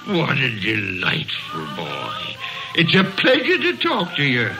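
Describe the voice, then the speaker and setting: An actor playing an excitable, repentant elderly miser in 1930s radio drama style, theatrical and stammering over repeated words. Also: male voice, medium pitch, low-quality recording. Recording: old radio broadcast with music behind.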